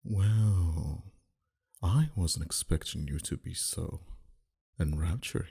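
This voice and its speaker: A man playing a dominant, flirty mafia boss in an intimate ASMR roleplay, talking in a deliberate, quietly threatening and teasing manner. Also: sits low, creaky, breathy edge, exaggerated voice. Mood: flirty, intrigued. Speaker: voice actor.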